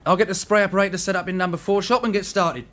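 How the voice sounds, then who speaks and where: A man's voice: high-pitched, steady tone, quick pace, fairly bright tone. A man acting a wry, obliging crooked garage boss in a radio drama. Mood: businesslike.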